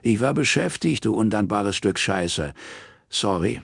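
A man's voice: lowish voice, animated, measured pace, gravelly, husky, no echo, clean studio sound, pitched above the performer's own voice, heavily acted. A man voicing a brusque, foul-mouthed London crook in an audiobook, blunt and impatient. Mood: defensive, gruff. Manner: coarse, blunt, slangy.

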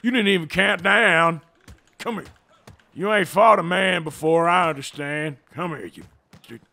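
Gravelly outlaw played by a man, bright forceful voice, cocky, easily riled, game roleplay. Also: a little high, moderate pace. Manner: folksy old-time cowboy talk. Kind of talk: in-character online game roleplay.

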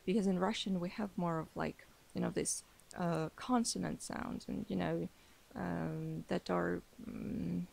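A woman's voice: lowish voice, fairly even intonation, unhurried, gravelly, a little mellow. A young woman, a native Armenian speaker, chatting casually in English as a podcast guest and English teacher, with many fillers, restarts and frequent laughs. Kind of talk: casual interview chat.

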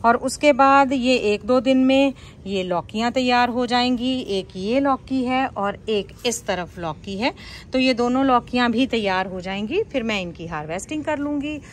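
A woman's voice: a little high, normal speed, slight background noise. A woman casually narrating a garden tour as a vlogger.

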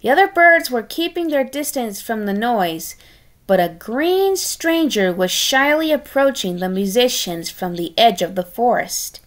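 Female storybook reader, fairly high voice, unhurried, sardonic in asides. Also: expressive. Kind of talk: expressive read-aloud with wry asides.

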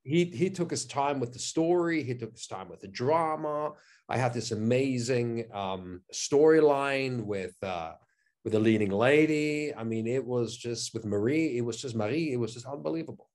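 Enthusiastic actor on a video call. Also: male voice, medium pitch, animated, normal speed, a little mellow. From Switzerland. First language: German. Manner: enthusiastic, repeats words, many "you know" and "I mean". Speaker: actor, interview guest. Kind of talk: casual interview chat. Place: living room at home, indoors. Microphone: video call, webcam.

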